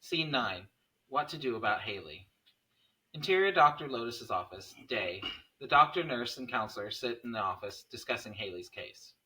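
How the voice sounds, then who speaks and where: A man's voice: mid-range voice, lively intonation, normal speed, pushed and bright, low-quality recording. A man narrating scene headings and stage directions from a script at a table read.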